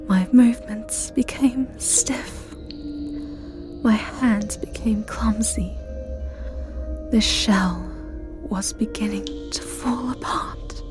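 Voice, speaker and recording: A woman acts out a centuries-old porcelain doll maid, obsessive and sinister. The voice is a breathy, menacing whisper that lingers very slowly over each phrase, theatrical in an ASMR roleplay style. Music plays clearly behind it. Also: on the high side. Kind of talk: dramatic ASMR roleplay monologue.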